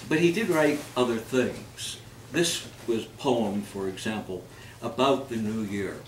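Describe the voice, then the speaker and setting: A man reciting poetry in a theatrical, measured manner, with phrases that swell and then fall away. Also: lowish voice. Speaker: reader at a readers theater event. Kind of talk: dramatic poetry recitation with spoken introduction. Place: live reading in a roomy space. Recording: roomy, distant microphone, background noise.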